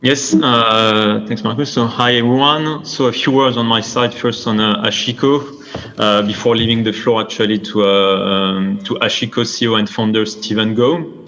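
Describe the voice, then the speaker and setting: A man moderating a conference session remotely, introducing a company with halting speech and many fillers. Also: fairly low pitch, steady tone, fairly bright tone. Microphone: remote via web. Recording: dull lo-fi remote audio.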